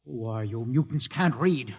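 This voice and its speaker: A man's voice: medium pitch, animated, normal speed, darkish tone, breathy edge, lo-fi sound. An actor playing a frightened, indoctrinated young male scientist held captive in a 1950s radio drama, defensive and dogmatic. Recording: old 1950s radio broadcast.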